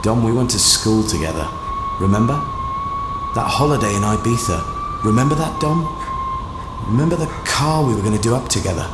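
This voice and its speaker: Guy acting as a dead mate's ghost, low and slow, accusing, taunting, audio drama, noisy. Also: lively intonation, close to an everyday voice. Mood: cold, taunting. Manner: slow, taunting, deliberate questioning. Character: ghost of a dead male friend, vengeful, accusing. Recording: roomy echo with clear noise.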